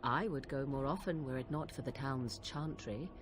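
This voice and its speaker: A woman's voice: very deep voice, normal speed, noisy background. A woman playing an aloof, sardonic young witch in a video game, with archaic, haughty diction. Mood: aloof, matter-of-fact.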